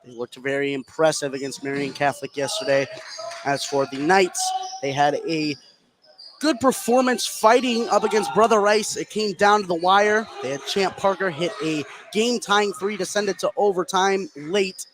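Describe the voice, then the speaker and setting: A man's voice: high-pitched, moderate pace. A man doing live play-by-play basketball commentary for radio, fluently narrating the action from inside a gymnasium. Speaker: play-by-play announcer. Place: indoor high school gymnasium. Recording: noisy gym ambience behind commentary. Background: gym noise.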